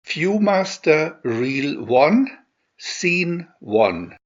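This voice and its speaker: A man making short, formal, deliberate announcements as the announcer on a 1960s recording. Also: a little high, very animated. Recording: dull, echoey 1960s recording.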